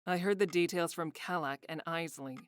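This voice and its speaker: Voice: medium pitch, normal speed, smooth, close to an everyday voice. An actor playing a curt, condescending head of a town guard in a fantasy visual novel. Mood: matter-of-fact. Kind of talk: visual novel character acting.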